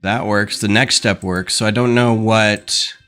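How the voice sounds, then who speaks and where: A man's voice: lowish voice, steady tone, measured pace, bright, forceful tone, soft background music. A man with a stuffed-up nose chatting casually on a livestream at his home desk, thinking aloud with lots of ums. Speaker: LEGO designer streaming. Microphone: desk microphone.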